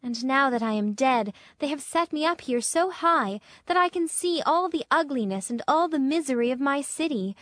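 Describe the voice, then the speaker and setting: A woman voicing a gentle, sorrowful statue of a dead young prince in a storybook, solemn and musical. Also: high voice, expressive, no echo, clean studio sound, voice pushed up. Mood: wistful sorrow. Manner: solemn, measured, wistful. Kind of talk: storybook character reading.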